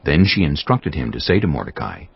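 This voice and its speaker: Very deep, gravelly male scripture narrator. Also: big pitch swings, brisk, lo-fi sound.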